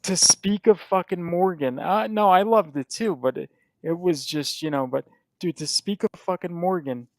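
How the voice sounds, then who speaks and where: Gravelly profane man chatting casually. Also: fairly high pitch, darkish tone, no room sound. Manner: rambling, profane, restarts often. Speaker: podcast host. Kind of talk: casual chat.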